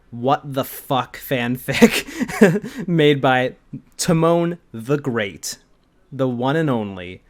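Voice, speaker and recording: A young adult man talks casually as a video co-host. His voice sits at an ordinary middle pitch. He keeps the delivery informal and conversational, happy to curse. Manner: informal, swears freely. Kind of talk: casual chat introducing a video.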